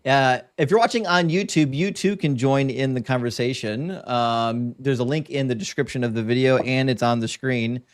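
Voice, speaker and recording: A man's voice: medium pitch, fairly even intonation, normal speed, few pauses, no echo. A man moderating a live online debate as host, firm and direct with his questions.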